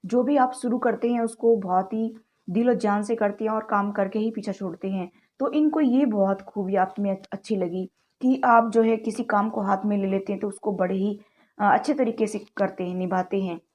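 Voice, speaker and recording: Woman, tarot reader, chatty direct-to-camera style, warm tone, sore throat. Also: medium pitch, fairly even intonation, normal speed. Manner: chatty, keeps addressing viewers as "guys"; mixes English words into Hindi.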